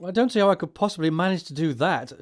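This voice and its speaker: An actor playing an earnest, easily flustered young upper-class English gentleman with a proper, fussy manner, in a stage comedy audio production. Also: high voice, lively intonation, fast talker.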